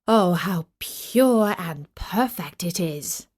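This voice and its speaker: A woman's voice: fairly low pitch, expressive, takes it slowly, a little mellow. A woman voicing a foolish, gold-greedy king in a dramatic, emphatic storybook reading. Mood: gloating. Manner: theatrical, emphatic. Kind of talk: children's storybook character reading.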